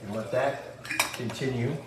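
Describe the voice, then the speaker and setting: A man's voice: mid-range voice, steady tone, measured pace. A middle-aged man hosting a casual live cooking demo, chatting and greeting viewers by name as he works. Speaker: cooking show host. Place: home kitchen. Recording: echoey kitchen with faint noise.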